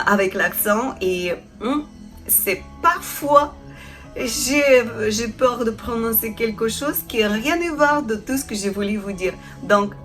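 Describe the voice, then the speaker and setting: A woman from Ukraine with a Ukrainian accent, a non-native speaker introducing her craft channel in a halting way, often searching for words. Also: mid-range voice, a bit bright. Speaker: craft channel host, felting artisan. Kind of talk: casual introduction to camera.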